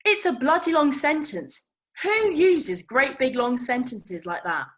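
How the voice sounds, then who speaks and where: A woman's voice: high-pitched, animated, measured pace, fairly bright tone. A woman reading the part of a feisty, blunt, working-class young woman in a comedy script, colloquial and mocking. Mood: indignant. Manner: colloquial, mocking, non-standard grammar. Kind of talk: table read of a comedy screenplay.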